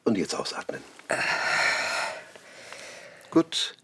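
An actor playing a gaunt, falsely courteous doctor in a radio play, speaking formally and in clipped commands. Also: male voice, moderate pace, fairly bright tone, natural voice. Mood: formal, clinical. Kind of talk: radio drama acting.

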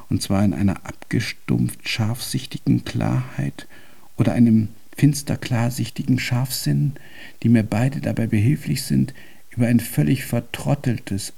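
An actor playing a deaf, cantankerous old composer in a German radio drama, speaking in an ornate, pompous and convoluted way. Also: male voice, mid-range voice, gravelly, warm tone, husky, heavily acted.

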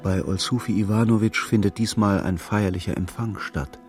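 A man's voice: fairly low pitch, fairly even intonation, normal speed, darkish tone, faint music behind, close to an everyday voice. A man playing the sober storyteller of a radio play, reading scripted literary narration. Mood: grave narration. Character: sober male storyteller recounting a hero's misery.

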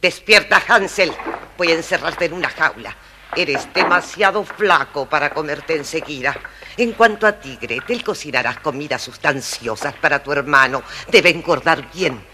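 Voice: mid-range voice, animated, normal speed, background noise, natural voice. An actor voicing a wicked, bossy old witch who eats children, with a scolding, theatrical delivery for a children's storybook record.

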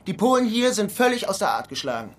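A man's voice: high-pitched, big pitch swings, fast talker. An actor playing a wry, streetwise German immigrant in Queens, narrating a crime radio drama in a laconic, dry-humored way. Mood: needling.

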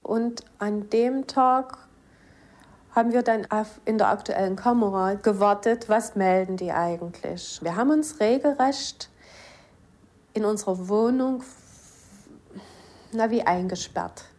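Medium-pitched woman recounting memories. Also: unhurried, pauses often, darkish tone. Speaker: eyewitness interviewee. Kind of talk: spontaneous spoken recollection.

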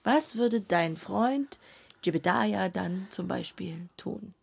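An actress playing an insistent, earnest wife in a radio play, husky and creaky, coaxing and directing with emphasis. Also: female voice, mid-range voice, expressive, slow pace, a little mellow, full character voice.

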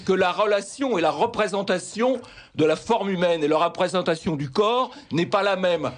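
Man, high pitch, emphatic, repetitive, argumentative panel guest. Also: moderate pace. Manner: emphatic, repeats himself to hold the floor. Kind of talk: heated debate. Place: radio studio.

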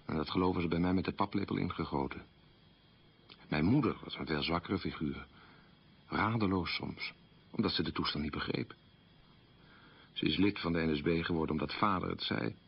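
Radio drama role: man recalling a wartime childhood, deep and slightly rough, short plain sentences. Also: moderate pace, long pauses, highs cut off, heavily acted. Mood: reflective. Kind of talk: radio documentary-drama monologue, reminiscing.

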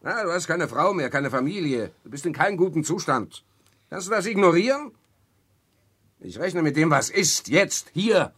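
A man playing a bitter, husky younger brother. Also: on the low side, expressive, normal speed, pauses often. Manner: cutting, resentful, confrontational. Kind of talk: German dub of a stage drama.

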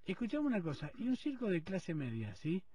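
A man's voice: high voice, wildly swooping pitch, a little fast, warm tone, distant mic. A man with a Rioplatense accent hosting a morning radio show, interviewing a caller in a joking, teasing way. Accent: Rioplatense Spanish. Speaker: radio host. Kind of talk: casual phone interview. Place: radio studio.

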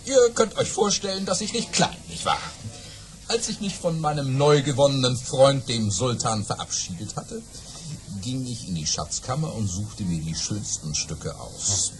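An actor playing a boastful baron who spins tall tales with dramatic pauses and exclamations in an audio play. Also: male voice, mid-range voice, lively intonation, a little hiss or hum. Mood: gleeful boasting. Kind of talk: German audio-play storytelling.

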